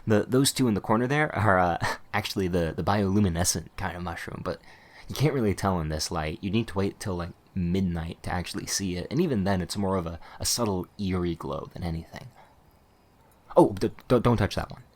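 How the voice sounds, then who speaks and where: A man's voice: low-pitched, fairly quick, lightly acted. A man playing a talkative, easily flustered male witch shopkeeper in an ASMR roleplay, rambling and stammering as he eagerly explains things. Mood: enthusiastic, rambling.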